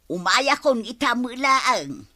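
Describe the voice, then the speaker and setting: A woman's voice: on the high side, big pitch swings, slow pace, pushed and bright, dry recording, heavily acted. A woman with an Ilocano accent playing an eager, simple wife in a radio comedy drama.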